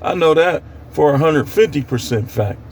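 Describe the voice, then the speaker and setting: A man's voice: fairly high pitch, lively intonation, normal speed, darkish tone, a little hiss or hum. An American man preaching informally on scripture in a plain-spoken, admonishing way, repeating phrases for emphasis. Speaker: street preacher.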